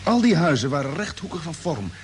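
An actor playing a drowsy, bewildered space expedition commander in a radio play, speaking haltingly and repeating words. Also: male voice, fairly high pitch, very animated. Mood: dreamy recollection. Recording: noisy, humming old radio drama recording.

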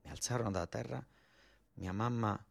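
A man's voice: on the low side, normal speed, vocal fry, no room sound. A man with a southern Italian accent narrating a theatrical first-person monologue, colloquial and repetitive, with dialect words. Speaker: actor, storyteller.

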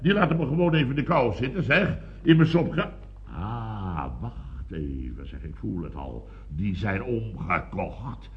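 Blustering, wildly animated boss. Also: male voice, on the low side, unhurried. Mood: indignant. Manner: sputtering, repetitive, pompous, exclaiming. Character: blustering, excitable, self-important male boss of building firm. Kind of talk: radio comedy serial acting. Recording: dull band-limited old radio recording.

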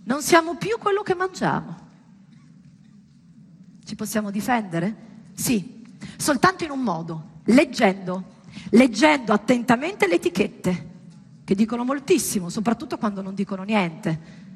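High-voiced theatrical woman in a reverberant hall. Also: big pitch swings, a little slow, long pauses, husky. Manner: dramatic, ironic, direct address with rhetorical questions. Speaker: stage actress performing a monologue. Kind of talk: theatrical monologue addressed to a live audience. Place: indoor performance space, live. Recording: reverberant hall with background noise.

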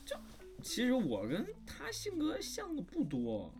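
A man speaking as a voice actor guest in a casual panel interview, informal, animated and playful in how he talks. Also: very high voice, measured pace. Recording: music playing behind the voice.

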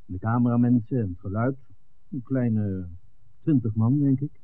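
An actor playing an eager, easygoing filmmaker with an eye for women in a 1960s Dutch radio drama. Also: male voice, medium pitch, moderate pace, close to an everyday voice. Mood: eager, persuasive. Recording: muffled, narrow old radio recording.

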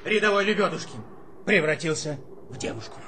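An actor playing an earnest, flustered junior army officer who reports formally and hesitantly in a radio drama. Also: male voice, on the high side, sing-song, brisk. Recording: faint background ambience noise.

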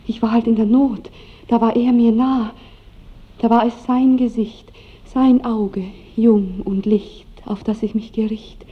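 An actress playing an innocent, trusting young bride in a 1960s radio drama, with breathless, pleading, lyrical delivery. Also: female voice, mid-range voice, close to an everyday voice. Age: young adult. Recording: dull old radio recording, faint hiss.

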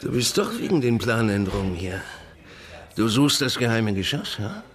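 Sly, crude, talkative male oddball, played by an actor for a radio crime play; very lively pitch, mid register. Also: moderate pace, lightly acted. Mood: mocking, conspiratorial. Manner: colloquial, mocking, animated. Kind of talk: crime radio drama acting.

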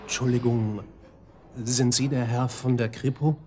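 Man acting talkative clinic patient, police informant, halting colloquial delivery, radio drama, mid-pitched, some background noise. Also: steady tone, mellow, close to an everyday voice. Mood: hesitant, uneasy. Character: talkative male psychiatric-ward patient turned police informant.

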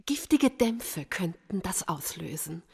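An actress playing an inquisitive, chatty deaconess with an expressive delivery in a radio drama. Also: female voice, on the low side, takes it slowly, gravelly, husky, exaggerated voice. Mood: concerned, soothing. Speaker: actor in a radio play. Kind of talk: German radio crime drama dialogue.

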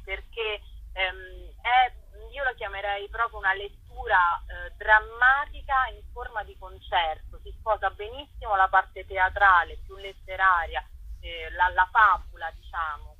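A bright, slightly breathy woman with a Roman Italian accent, a theatre director speaking with eager enthusiasm and frequent hesitations. She comes through a dull, muffled phone line. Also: medium pitch, dry recording.

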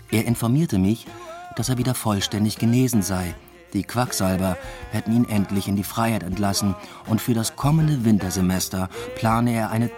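Male actor as reflective academic, low voice, measured radio drama narration, understated, music behind. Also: close to an everyday voice. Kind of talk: first-person radio drama narration with dialogue.

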